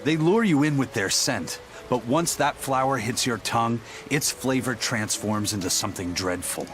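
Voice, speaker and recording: Um ator interpretando um capitão pirata severo e orgulhoso, de fala firme e professoral. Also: male voice, mid-range voice, moderate pace, music in the background, lightly acted. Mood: stern. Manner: stern, lecturing. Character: stern proud male pirate captain. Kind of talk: game dub acting.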